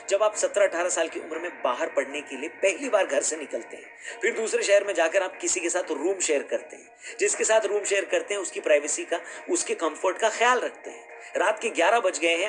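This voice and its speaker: Higher-pitched man, emphatic explainer. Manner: emphatic, rhetorical questioning, addresses viewers directly. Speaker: video commentary presenter. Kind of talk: scripted explainer commentary.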